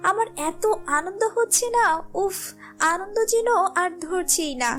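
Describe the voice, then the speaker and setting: A woman's voice: very high-pitched, a little slow, a bit bright, faint music behind. A woman voicing a greedy, bossy, discontented wife in a cartoon moral story, with a nagging, exclamatory way of talking. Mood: giddy, greedy.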